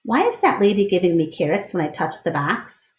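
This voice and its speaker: A woman's voice: on the low side, very animated, fairly quick, echoey room, a little hiss or hum. A woman voicing an innocent, questioning young female elephant in a read-aloud storybook. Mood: puzzled.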